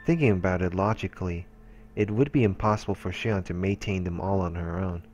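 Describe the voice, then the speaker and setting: Deep-voiced man reading over music. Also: fairly quick, a little mellow. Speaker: let's-play narrator. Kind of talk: reading visual novel narration aloud. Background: game music.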